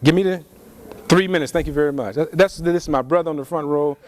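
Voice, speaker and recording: High-voiced man lecturing emphatically. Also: noisy background. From Louisiana, USA. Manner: slow, emphatic, preacher-like delivery. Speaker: speaker at a community event. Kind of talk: lecture with call and response.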